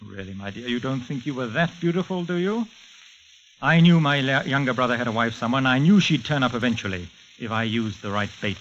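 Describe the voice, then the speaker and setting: An actor playing a smooth, condescending murderous villain in a 1940s radio thriller. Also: male voice, medium pitch, animated, fairly quick, fairly bright tone, natural voice. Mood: mocking. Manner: suave, mocking, condescending. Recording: muffled, hissy 1940s radio recording.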